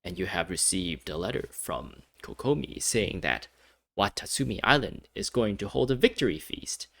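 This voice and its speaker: A man's voice: on the low side, animated, normal speed, gravelly, fairly bright tone, dry recording. A man giving casual gameplay commentary, rambling with many fillers and restarts. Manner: rambling, hesitant, frequent fillers and restarts, laughs often. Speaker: gaming commentator.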